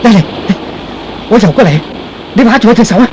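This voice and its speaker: A man's voice: high-pitched, animated, fast talker, background noise, voice pushed up. A man playing an earnest, modest and chivalrous young man in a radio melodrama, speaking politely and theatrically. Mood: urgent.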